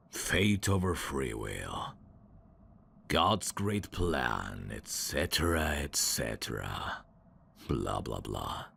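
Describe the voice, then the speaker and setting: A man voicing a sly demon servant in an ASMR audio drama, courtly and theatrical with irreverent, sardonic asides and a very deep, gravelly voice. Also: a bit bright, a little hiss or hum, heavily acted.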